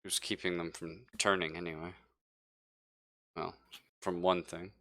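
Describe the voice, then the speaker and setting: Man as desperate crewman, low forceful voice, defensive, halting, dry sound, tabletop sci-fi roleplay. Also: flat delivery, dry recording, full character voice. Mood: shaken, confessing. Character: desperate, guilty male crew member, gasping and pleading.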